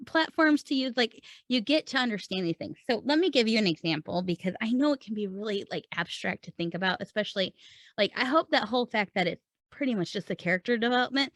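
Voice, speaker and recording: A woman's voice: mid-range voice, animated, fast talker, fairly bright tone, no room sound. A woman podcast host giving a conversational, informal lesson, explaining things step by step and posing questions to her listeners. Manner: informal, explanatory, asks and answers own questions. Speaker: podcast host and writing coach. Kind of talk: conversational instructional monologue.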